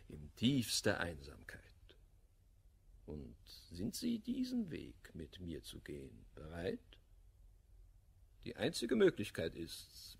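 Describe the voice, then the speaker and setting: A wounded, idealistic lover in a classical radio play. His voice is very raspy and takes its time. He declaims the verse emphatically, rising and falling widely in pitch. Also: heavily acted. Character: passionate, wounded, idealistic man, proud misanthrope in love. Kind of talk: German classical verse radio drama acting.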